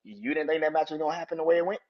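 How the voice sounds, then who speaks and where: A man's voice: fairly high pitch, very fast, muffled recording. A young man hosting a podcast, counting down a ranking in casual, profane talk, often laughing and getting worked up. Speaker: podcast host.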